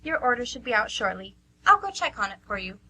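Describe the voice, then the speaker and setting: Woman playing a bright, husky, polite waitress. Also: on the high side, very animated, a little fast, heavily acted. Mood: obliging. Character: polite, apologetic, obliging young-sounding restaurant waitress. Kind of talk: amateur radio theatre comedy acting.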